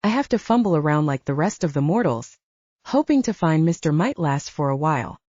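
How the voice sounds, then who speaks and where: A woman's voice: deep voice, big pitch swings, a little fast, a little mellow, no room sound, dull audio. A woman voicing a cheeky, flirty male wizard who talks in a teasing, breezy way. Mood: teasing, wistful.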